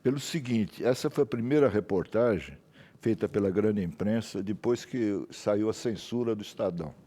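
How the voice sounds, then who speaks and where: A man's voice: lowish voice, moderate pace, mellow. Older Brazilian man telling stories. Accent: Brazilian Portuguese. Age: 70s. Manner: rambling, anecdotal, frequent tag questions. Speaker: journalist guest. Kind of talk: interview storytelling.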